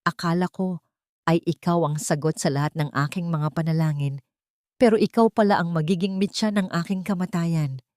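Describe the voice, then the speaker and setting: A woman's voice: lowish voice, normal speed, a little mellow, no echo, clean studio sound, close to an everyday voice. A woman voicing an angry, bitter old male patriarch in an audio drama. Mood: furious, bitter. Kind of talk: Tagalog audio drama storytelling.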